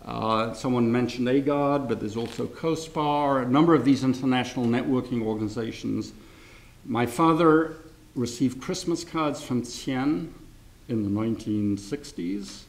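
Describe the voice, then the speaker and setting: Older man with a gravelly voice, rambling talk. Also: mid-range voice, low-quality recording. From grew up in France and England. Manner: rambling, self-correcting, chuckles often. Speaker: seminar speaker. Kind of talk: informal lecture with anecdotes. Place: lecture hall.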